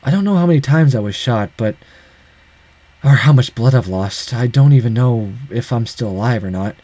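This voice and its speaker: A man's voice: medium pitch, moderate pace, mellow, no echo, pitched below the performer's own voice, natural voice. A man voicing a dying young man in a visual novel, weary and tender, with a self-deprecating streak. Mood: weary resignation.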